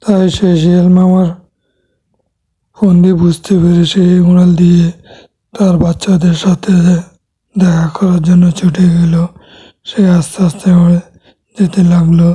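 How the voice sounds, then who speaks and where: A woman's voice: fairly low pitch, flat delivery, unhurried, long pauses, dark tone, breathy edge, long reverb tail. A woman narrating a scripted children's cartoon story.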